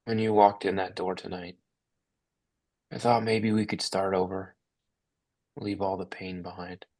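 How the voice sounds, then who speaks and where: Man acting low-voiced, grieving father. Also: little pitch movement, a little slow, darkish tone. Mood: sorrowful. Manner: sombre, confessional. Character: guilt-ridden, grieving father, estranged from his wife. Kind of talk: dramatic script table read.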